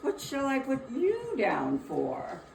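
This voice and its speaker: An earnest Victorian charity collector played by a woman, mid-pitched and very expressive, with formal phrasing. The dramatic reading is picked up from afar in an echoey room. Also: vocal fry, exaggerated voice. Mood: earnest appeal. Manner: formal, measured appeal. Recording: distant echoey room, faint noise.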